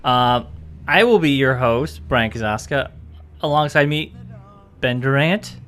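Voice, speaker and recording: A man's voice: medium pitch, lively intonation, a little slow, fairly bright tone. A man in his thirties hosting a casual podcast, easygoing and a little rambling, often trailing off with "I guess.". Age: 30s. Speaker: podcast host.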